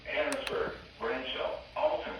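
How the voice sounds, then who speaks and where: A man's voice reading a formal weather warning in flat bulletin style through a radio speaker. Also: unhurried, a crowd in the background. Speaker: weather radio alert announcer. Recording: echoey radio playback in a noisy room.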